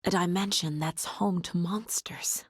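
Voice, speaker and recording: Serious fbi agent lady from a horror game, bit husky, super dry studio sound, focused and kinda talking to herself. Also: fairly low pitch, steady tone, unhurried, dry recording. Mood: hushed, tense. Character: determined, level-headed female FBI agent investigating supernatural horror. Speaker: video game voice actress. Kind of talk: dramatic video game voice lines.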